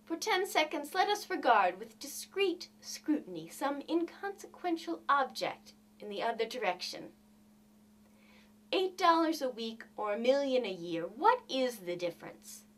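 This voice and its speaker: Female narrator for literary short fiction, higher-pitched, expressive and deliberate, taking her time over each phrase. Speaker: reader of literature. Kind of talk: expressive audiobook narration of a classic short story.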